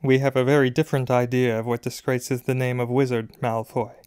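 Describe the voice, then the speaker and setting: A man's voice: medium pitch, little pitch movement, brisk, a little mellow, smooth, dry recording, studio quality, natural voice. A man voicing a decent middle-aged wizard father in a storybook, stiffly proud, earnest and easily made indignant. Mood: cold indignation.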